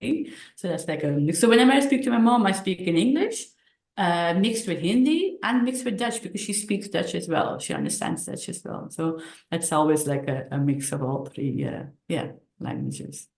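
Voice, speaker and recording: A middle-aged woman telling personal stories casually as an interview guest, haltingly, repeating words and restarting sentences. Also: fairly low pitch, very animated, creaky, a little mellow. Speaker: podcast guest, author. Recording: roomy echo on the voice.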